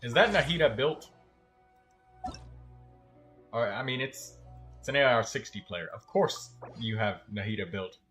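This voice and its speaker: A man's voice: medium pitch, expressive, measured pace, long pauses, a bit bright, soft background music. A man giving casual, instructive gameplay commentary in colloquial language, as a gaming host helping another player. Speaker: livestream game host.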